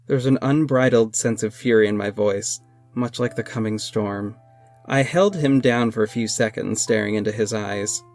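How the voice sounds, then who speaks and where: A man's voice: fairly low pitch, normal speed, a little mellow, lightly acted. An actor playing an earnest masked superhero who narrates his own adventures in an audio drama. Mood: earnest, dramatic. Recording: music under the voice. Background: music.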